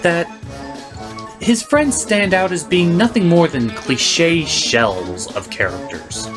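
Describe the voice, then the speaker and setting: A man hosting a scripted comedic review, ranting in a theatrical, emphatic manner. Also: medium pitch. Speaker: host and reviewer.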